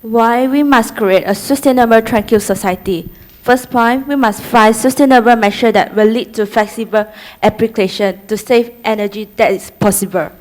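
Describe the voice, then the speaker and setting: A woman's voice: a little high, steady tone, moderate pace. A young woman from Malaysia giving a prepared student presentation, listing points steadily one by one. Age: young adult.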